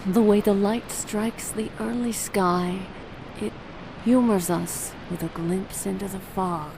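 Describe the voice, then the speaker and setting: A woman playing a calm, cold villainess in a radio drama, musing dreamily as she faces arrest. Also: medium pitch, slow, gravelly. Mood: serene. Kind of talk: fan radio drama acting. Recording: noisy amateur recording.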